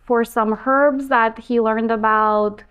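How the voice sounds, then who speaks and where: A woman's voice: fairly high pitch, steady tone, slow, clear, resonant tone. A woman chatting casually as a player in a tabletop game, on slightly dull audio.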